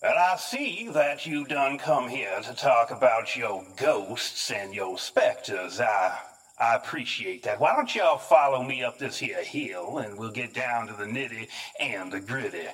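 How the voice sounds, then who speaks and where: Man putting on a husky, folksy Southern gentleman. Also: mid-range voice, expressive, measured pace. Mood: folksy, beckoning. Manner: drawling, rambling, folksy. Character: folksy, hospitable, ominous Southern man named William.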